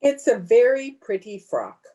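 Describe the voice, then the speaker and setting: A woman's voice: on the high side, very animated, a little slow, warm tone. A woman playing a blunt, disapproving, bitter family friend in an old-time radio play. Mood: grudging. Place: home, over a video call.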